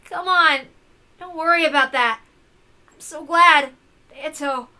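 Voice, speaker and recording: A brash, excitable young master, acted by a woman in a visual novel. The voice is bright and high, with casual, emphatic, loud lines. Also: slow, exaggerated voice. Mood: overjoyed.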